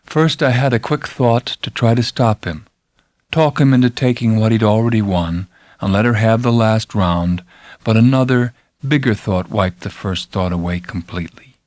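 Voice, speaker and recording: A man reading a literary first-person story as an audiobook narrator, dramatic, intense and deliberate, with hushed drops and swells. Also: on the low side, no echo, clean studio sound.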